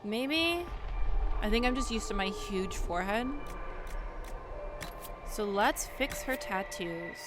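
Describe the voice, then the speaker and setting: A woman's voice: mid-range voice, lively intonation, slow, long pauses. A woman giving casual, chatty game commentary, thinking aloud in an informal way as the host of her video. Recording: loud music behind voice.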